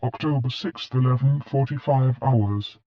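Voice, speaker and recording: A man's voice: medium pitch, unhurried, heavy background noise. Lo-fi male log computer. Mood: impersonal. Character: impersonal male log computer announcing date and time. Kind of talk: terse timestamp announcement in audio drama.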